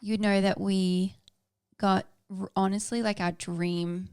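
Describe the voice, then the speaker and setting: A woman's voice: medium pitch, flat delivery, slow, background noise. A young woman co-hosting a podcast in casual, bubbly chat with her husband. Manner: bubbly, chatty, talks over partner. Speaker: podcast co-host.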